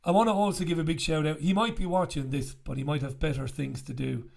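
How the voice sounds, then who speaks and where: A man in his forties, croaky after an illness, chatting bluntly and casually to camera as a show host in a small room with a studio mic. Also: on the high side, steady tone, fast, a little mellow. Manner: chatty, blunt, swears freely. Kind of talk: casual direct-to-camera chat. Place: small bright room indoors. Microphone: studio mic on stand in front.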